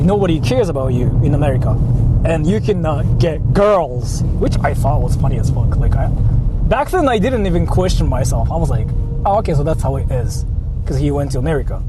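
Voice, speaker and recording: A man in his thirties from Japan, chatting casually and crudely with viewers while driving. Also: on the high side. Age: 30s. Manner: rambling, profane, joking with viewers. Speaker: vlogger. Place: inside a car on city streets. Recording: road noise in a car.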